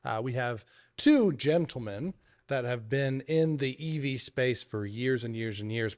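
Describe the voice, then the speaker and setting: A man co-hosting a podcast, introducing guests in a casual, filler-heavy, upbeat way. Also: medium pitch. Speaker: podcast co-host.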